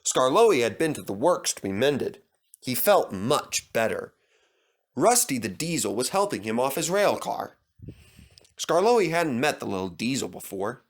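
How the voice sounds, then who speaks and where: A man reading a children's storybook aloud as narrator. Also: medium pitch. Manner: expressive, measured.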